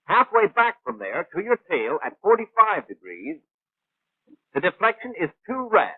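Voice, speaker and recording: An actor playing a brisk, know-it-all gunnery instructor in a 1940s animated training film, precise, clipped and didactic. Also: male voice, high-pitched, slightly rough, dry recording, phone-quality sound, heavily acted. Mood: instructive. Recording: thin, band-limited 1940s film soundtrack.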